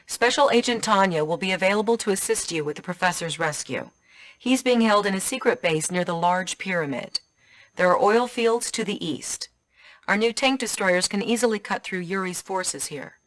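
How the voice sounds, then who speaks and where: An actress playing a composed military intelligence officer giving scripted briefings in a video game. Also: female voice, medium pitch, animated, moderate pace, no room sound, lightly acted. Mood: composed, businesslike. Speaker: mission briefer. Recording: clear battle and vehicle noise behind.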